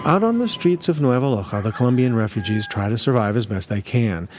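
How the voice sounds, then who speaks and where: Guy doing radio news narration, voice moves up and down a lot, measured. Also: mid-range voice, expressive, very noisy. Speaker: radio reporter.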